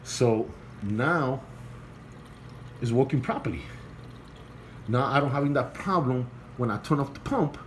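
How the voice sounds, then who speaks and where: A man with a non-native English accent and a middle-pitched voice talks through his home setup in a halting, repetitive way, restarting phrases and sounding earnest. He records on a phone he holds while filming, and a faint hum sits behind him. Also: lively intonation. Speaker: hobbyist vlogger. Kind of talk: casual home video walkthrough. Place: home, beside an aquarium indoors. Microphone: phone held by the speaker while filming.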